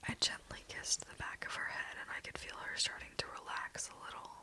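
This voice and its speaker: A woman telling a story in a soft, slow, soothing unvoiced whisper.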